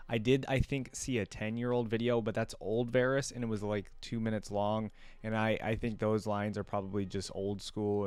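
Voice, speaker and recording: A man's voice: lowish voice, smooth, dry recording. A man hosting a reaction video, giving casual, rambling commentary in a conversational way.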